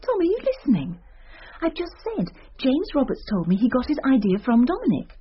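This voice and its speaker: A woman's voice: high-pitched, wildly swooping pitch, brisk, slight background noise. An actress playing a gossipy, teasing female schoolteacher who confides news in a radio drama. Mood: confiding. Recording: slightly dull radio recording.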